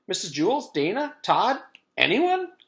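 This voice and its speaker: Man voicing an anxious young schoolgirl, pitched high. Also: wildly swooping pitch, unhurried, gravelly, fairly bright tone, full character voice. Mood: worried. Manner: earnest, flustered, pleading. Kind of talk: children's storybook read-aloud.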